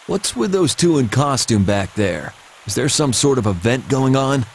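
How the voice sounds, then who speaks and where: A man's voice: mid-range voice, big pitch swings, a little hiss or hum. An actor playing a stern but lenient policeman, admonishing kids firmly and without hurry in an English video game dub. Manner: firm, unhurried, admonishing.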